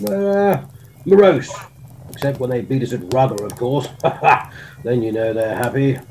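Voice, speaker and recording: A man's voice: medium pitch, animated, measured pace, a little mellow, a little hiss or hum. A man playing a bumbling, jolly old-school army colonel with an English accent and a stammering, old-fashioned upper-class manner in a comic audio drama. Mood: chatty, amused.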